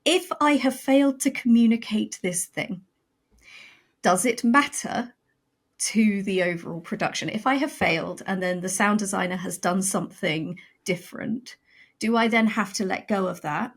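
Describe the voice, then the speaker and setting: A woman with a husky, mid-pitched voice reflects on her work as a writer in a casual podcast chat. She thinks aloud and turns her points into questions. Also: normal speed.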